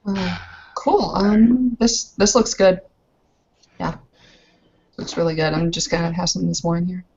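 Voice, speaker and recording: A woman's voice: lowish voice, fairly even intonation, measured pace, a little mellow, breathy edge, dull audio. A woman casually roleplaying as a player in a tabletop game session.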